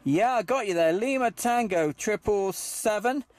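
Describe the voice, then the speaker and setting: A man running a CB radio net, speaking in a halting, hesitant way. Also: high voice, lively intonation, background noise. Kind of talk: amateur CB radio chat.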